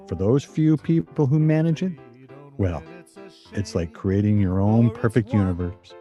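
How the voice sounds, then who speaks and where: A man's voice: lowish voice, dark tone, highs cut off. An actor playing an earnest, emphatic, wistful middle-aged man in a radio play.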